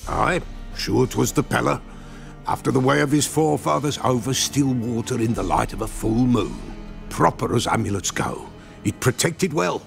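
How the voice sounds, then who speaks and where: Batty old soothsayer, very gravelly, wildly swooping pitch, archaic riddles, muttered repetitions, fantasy game. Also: male voice, lively intonation, normal speed, faint music behind. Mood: cryptic, agitated. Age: older. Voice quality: creaky. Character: eccentric, batty old village soothsayer who sees ghosts. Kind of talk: video game fantasy acting.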